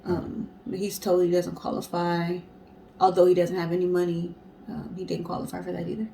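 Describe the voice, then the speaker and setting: A woman's voice: lowish voice, completely flat delivery, normal speed, gravelly, mellow, slight background noise, poor audio. A woman co-hosting a casual storytelling show in a conversational, explanatory way. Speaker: co-host.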